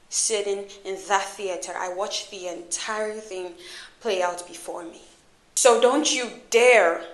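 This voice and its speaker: An actress plays a hurt and scornful young woman in a dramatic film monologue. Her voice sits fairly high and rings in an echoey room. She accuses her lover with raw, profane intensity. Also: a bit bright. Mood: bitter accusation. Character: wounded, furious young woman confronting her filmmaker lover.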